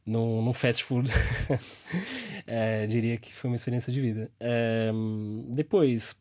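A young man with a Brazilian Portuguese accent answers interview questions about his company, searching for words and restarting sentences often. His pitch is middling for a man. The recording is close and dry. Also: expressive, slow, a little mellow, no room sound. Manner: hesitant, many fillers and restarts. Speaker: startup founder.

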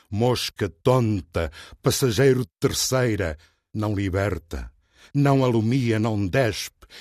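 Slow, low-voiced man reciting poetry. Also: darkish tone, no room sound, clean studio sound. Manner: solemn, declamatory, with deliberate pauses. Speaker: poet reading own work.

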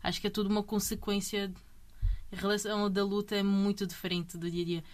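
A woman's voice: medium pitch, flat delivery, brisk. A woman in her thirties from Brazil whose Portuguese accent mixes Brazilian and European Portuguese. She talks in a chatty interview style, restarts her sentences often and tags them with little checks on the listener. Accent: Brazilian Portuguese mixed with European Portuguese. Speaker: MMA fighter, guest. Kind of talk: casual interview conversation.

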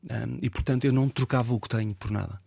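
A Portuguese man in his fifties with a European Portuguese accent, an actor talking reflectively in an interview, pausing and restarting as he thinks. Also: mid-range voice, vocal fry, darkish tone, breathy edge, dry recording. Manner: reflective, hesitant with many fillers and restarts. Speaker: actor and guest. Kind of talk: casual interview conversation.

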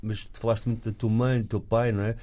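A man's voice: lowish voice, flat delivery, fast, mellow. A man hosting a casual interview, asking chatty questions. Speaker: interview host.

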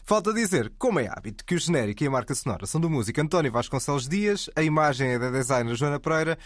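A man co-hosts a film podcast in a brisk, precise way and talks fast. His voice sounds close and dry, with no room around it. He often corrects details carefully. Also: a little high, animated, dry recording. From Spain. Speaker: podcast co-host. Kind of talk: casual film podcast chat.

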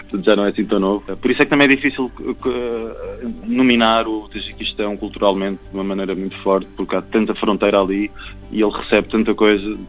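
A young man speaks in a deep voice with a European Portuguese accent. He chats reflectively, circling back over words as he looks for the right phrase. The sound is slightly dull, with faint music underneath. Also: fairly even intonation. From Algarve, Portugal. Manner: halting, repeats words while searching for phrasing. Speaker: traveller and guide interviewed. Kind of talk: casual reflective interview talk.

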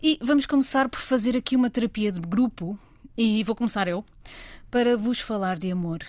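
A woman with a European Portuguese accent hosting a radio talk show, speaking in a lively, emphatic and rhetorical way into a studio microphone. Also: on the high side, fairly quick, no room sound. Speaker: radio show host and journalist.

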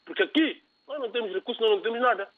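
A man's voice: sits high, very fast, no echo. A man from São Tomé and Príncipe calling in to a radio show, speaking with passion and indignation. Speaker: radio caller. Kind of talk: phone-in opinion. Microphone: telephone. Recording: tinny phone line, dry.

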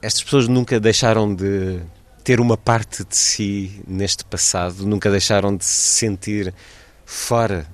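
A man hosting a radio interview, asking measured questions to prompt his guest. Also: fairly low pitch, fairly even intonation.

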